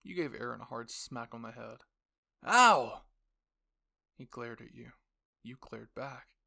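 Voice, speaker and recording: Guy narrating a fan fiction story, medium pitch, lots of vocal fry. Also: level tone, normal speed, a bit bright. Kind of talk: scripted second-person story narration.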